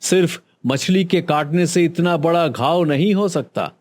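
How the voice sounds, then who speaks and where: A man's voice: on the high side, measured pace, a little mellow, natural voice. A man voicing a solemn male doctor giving grim advice in a Hindi cartoon. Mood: grave. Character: grave male village doctor.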